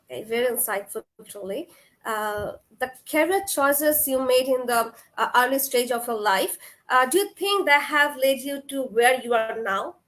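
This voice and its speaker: High-voiced woman host asking polite questions. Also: normal speed, a bit bright, poor audio. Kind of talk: webinar interview.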